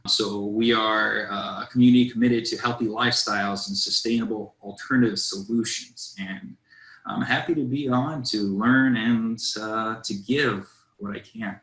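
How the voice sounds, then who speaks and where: A man's voice: fairly low pitch, steady tone, normal speed, fairly bright tone. A man from Southwest Florida, a community organizer, introducing himself plainly in an online meeting. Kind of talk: personal introduction on a video call. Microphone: video call. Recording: echoey room.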